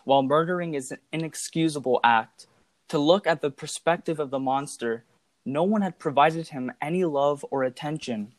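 A man giving scripted analytical commentary in a formal, measured manner. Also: mid-range voice, no room sound.

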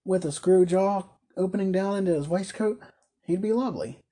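A man's voice: high-pitched, normal speed, dark tone, breathy edge, voice pushed up. A man voicing a meek, dreamy toymaker in a storybook reading, deferential and full of quaint wonder. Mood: wondering, admiring.

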